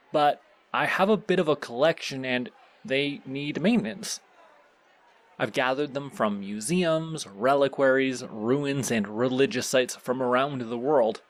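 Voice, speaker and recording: A man's voice: mid-range voice, natural voice. A man playing a pleasant supervillain boss in an audio roleplay, speaking politely and formally as he instructs the listener. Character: courteous, surprisingly pleasant male supervillain boss.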